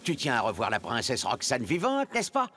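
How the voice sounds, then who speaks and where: Cartoon villain: power-hungry cardinal, theatrical, mocking, bright and hugely animated delivery. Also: male voice, mid-range voice, fairly quick, a little hiss or hum. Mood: menacing, gloating. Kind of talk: French animated series acting.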